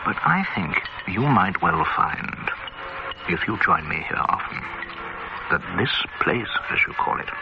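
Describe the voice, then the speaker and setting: A pompous older gentleman gourmet in an old radio drama. His voice sits low and gravelly, and he savours every word with smug, measured relish. The recording is dull and lo-fi, buried in heavy hiss. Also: very animated, exaggerated voice. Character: pompous older male gourmet, club regular.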